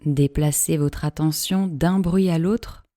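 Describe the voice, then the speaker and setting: A woman teaching yoga nidra, giving calm, measured guided-meditation instructions in a soothing way with long pauses. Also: low-pitched, dry recording, clean studio sound.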